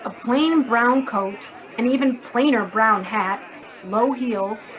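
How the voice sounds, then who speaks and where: An actress playing a brisk, blunt, wisecracking female editor in a hard-boiled radio drama. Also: fairly high pitch, a bit bright, rough recording. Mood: businesslike. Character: brisk, sardonic, worried female magazine editor.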